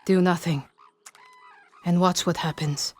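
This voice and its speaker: A woman's voice: on the low side, steady tone, unhurried, darkish tone. A defiant, self-assured renegade archer woman. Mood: cool, blunt. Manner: cool, blunt, self-assured. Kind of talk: dramatic video game acting. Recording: faint outdoor game ambience.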